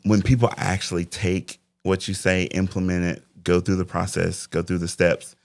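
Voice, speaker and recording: A man's voice: low-pitched, level tone, normal speed, creaky, a little mellow, no echo. A man in his forties speaking as an interview guest and life coach, talking reflectively about faith and planning. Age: 40s. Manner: reflective, faith-driven talk. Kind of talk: casual interview conversation.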